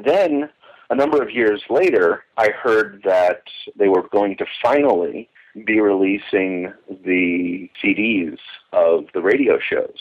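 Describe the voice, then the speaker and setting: A man's voice: fairly low pitch, takes it slowly. A middle-aged man giving an enthusiastic, detailed, digressive account in a conversational interview, as a longtime fan reminiscing. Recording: dull lo-fi phone or call audio.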